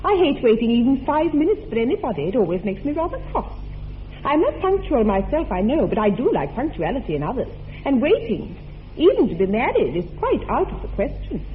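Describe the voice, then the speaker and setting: A pert, self-assured girl of eighteen played by a young woman in an old radio play, a little high and bouncy in tone. Her talk is candid and artless, and the recording is thin and phone-narrow with faint hiss. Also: very animated, brisk. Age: young adult.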